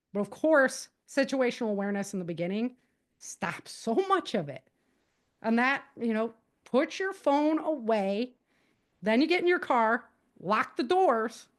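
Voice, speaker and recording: Female interview guest, slightly high voice, chatty and animated explainer. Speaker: guest author giving safety advice. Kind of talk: casual, conversational podcast interview.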